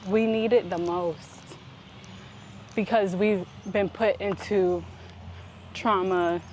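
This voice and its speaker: A woman speaking reflectively and conversationally as a vlog host, with deliberate, halting phrasing and emphatic pauses. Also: mid-range voice, fairly even intonation, darkish tone. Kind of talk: reflective conversational talk while walking. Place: outdoors, walking up a paved hill. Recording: noisy outdoor recording with traffic.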